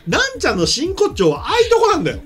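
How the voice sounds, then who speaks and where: A man's voice: extremely high pitch, huge pitch swings, very fast, fairly bright tone. A middle-aged man hosting a show, chatting casually and telling stories with enthusiastic emphasis. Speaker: show host. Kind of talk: casual chat, animated storytelling. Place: indoor small streaming studio. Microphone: desk microphone.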